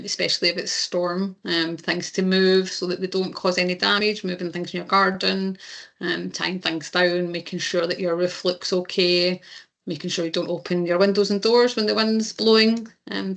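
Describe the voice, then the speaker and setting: A woman's voice: fairly low pitch, gravelly, fairly bright tone. A woman with a Scottish accent giving a webinar presentation, steadily explaining slides as an insurance claims presenter.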